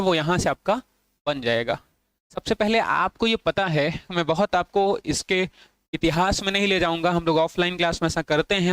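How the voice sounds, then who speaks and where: A man's voice: on the high side, fairly bright tone, smooth, no echo. A man teaching an online exam-prep lesson, repeating points and asking and answering his own questions, with English phrases mixed into his Hindi.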